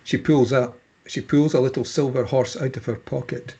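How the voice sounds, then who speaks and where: Husky man reading screenplay directions. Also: mid-range voice, steady tone, moderate pace, warm tone. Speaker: stage directions reader at a table read. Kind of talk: scripted narration of screenplay directions.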